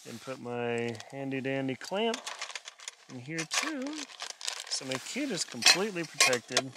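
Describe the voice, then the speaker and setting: A man's voice: medium pitch, very animated, a little slow, heavy background noise. A middle-aged man giving casual, folksy instructions as he handles gear, recorded on a camera inside a small tent. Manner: folksy, talking while busy with gear. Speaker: solo winter camping vlogger. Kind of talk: casual instructional vlog talk.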